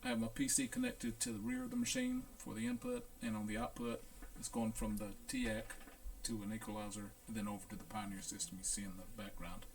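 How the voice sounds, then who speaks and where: Gravelly man narrating a hands-on demo. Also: fairly low pitch, expressive, brisk, hardly any pauses, a little hiss or hum. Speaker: audio equipment repair technician. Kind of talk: casual hands-on demonstration narration.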